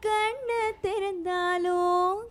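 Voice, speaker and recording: A woman's voice: extremely high pitch, fairly even intonation, extremely slow, faint music behind. A woman singing melodic film songs as an audition contestant.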